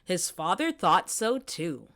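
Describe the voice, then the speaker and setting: Animated woman reading a children's storybook. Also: fairly low pitch, takes it slowly. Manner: expressive, dramatic read-aloud delivery. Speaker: children's book reader. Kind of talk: scripted storybook narration.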